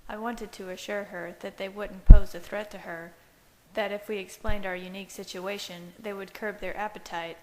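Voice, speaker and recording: A woman narrates fiction as an amateur audiobook reader. Her voice sits at a medium pitch. The home recording carries a light, steady hiss. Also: big-room sound, low-quality recording.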